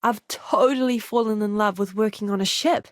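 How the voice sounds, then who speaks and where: A woman voicing an earnest, eager young ship's doctor in a video game, with a polite, expressive manner. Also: medium pitch, normal speed, dry recording, studio quality, lightly acted. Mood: eager, friendly. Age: young adult. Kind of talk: game character voice lines.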